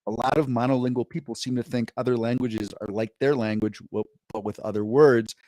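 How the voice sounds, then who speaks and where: Man, podcast co-host, rambling, self-correcting, reads posts aloud, mid pitch, dry close mic. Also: steady tone, fairly quick, darkish tone, no room sound, rough recording. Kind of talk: casual chat, reading posts aloud.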